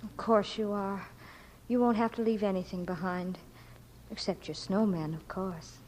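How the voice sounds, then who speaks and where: An actress playing a caring, soothing young big sister in a 1940s radio drama, coaxing and comforting. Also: female voice, mid-range voice, steady tone, moderate pace, a little mellow, a little hiss or hum, natural voice, low-quality recording. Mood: gently coaxing. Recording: old radio broadcast.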